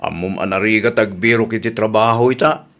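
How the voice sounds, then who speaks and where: A man's voice: on the low side, brisk, a bit bright. A man playing a suspicious Ilocano Filipino husband in a radio drama, probing and grumbling as he talks.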